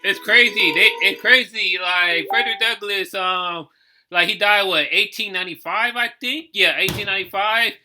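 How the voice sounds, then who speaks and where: A man giving casual, off-the-cuff reaction commentary as host, thinking aloud with halting, repeated phrases. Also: high-pitched, music in the background.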